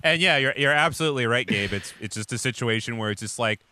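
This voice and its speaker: A man's voice: mid-range voice, lively intonation, fast, bright, forceful tone, no echo. A man co-hosting a podcast with casual banter, loosely thinking aloud with lots of filler. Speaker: podcast co-host.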